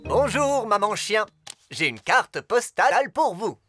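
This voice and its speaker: A man's voice: high voice, sing-song, slow, pushed and bright, faint music behind, full character voice. A man voicing a friendly, polite zebra postman in a preschool cartoon, courteous and upbeat. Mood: cheery. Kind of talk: animated preschool cartoon dubbing.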